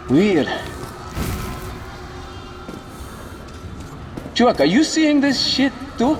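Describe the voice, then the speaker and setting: Gruff, high-pitched Russian survivor in echoing space. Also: male voice. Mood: alarmed. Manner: slangy, swearing, urgent. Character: gruff, profane, excitable Russian male survivor comrade. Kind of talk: video game character acting. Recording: echoey hall, music or noise behind.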